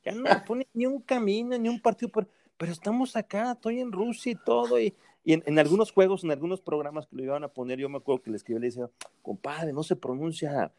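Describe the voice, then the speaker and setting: A man's voice: sits high, fast talker, darkish tone, a little hiss or hum. A man, a sports journalist, reminiscing with anecdotes and quoting a friend in a raised voice. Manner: laughing anecdotes, quotes in a raised voice. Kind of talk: reminiscing in conversation.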